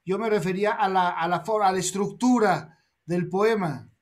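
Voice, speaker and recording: A man's voice: high-pitched, moderate pace. A man in his forties hosting a video call interview, asking questions with hesitations and giving frequent short assents. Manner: attentive, frequent "ajá" backchannels, hesitant questions. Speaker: host, interviewer. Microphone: webcam mic on video call.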